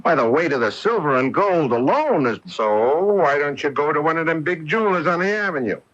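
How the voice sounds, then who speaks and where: An actor playing a hard-bargaining fence of stolen goods in a radio mystery, brusque and streetwise. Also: male voice, a little high, expressive, brisk, fairly bright tone. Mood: pushy, haggling. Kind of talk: radio mystery drama acting. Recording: muffled AM radio broadcast.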